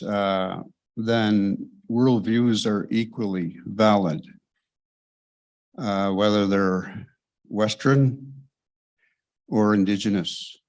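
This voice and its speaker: An older man, a native Cree speaker, speaking a measured opening prayer and reflection at a podium on a hall stage. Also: on the low side. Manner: slow, measured, pausing often. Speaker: elder giving an opening prayer. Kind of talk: slow spoken prayer and reflection. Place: large lecture hall stage. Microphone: podium microphone.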